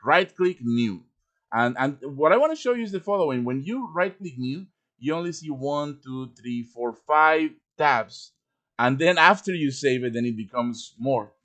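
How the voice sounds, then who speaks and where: A man presenting an informal live software tutorial, explaining things step by step with casual asides. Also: medium pitch, lively intonation, normal speed. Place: live-streamed voice chat with screen share.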